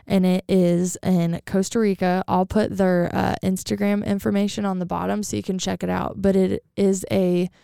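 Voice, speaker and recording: A woman with a raspy voice, chatting casually as a podcast co-host and rambling with lots of fillers. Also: mid-range voice, warm tone, no echo, clean studio sound. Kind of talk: casual chat.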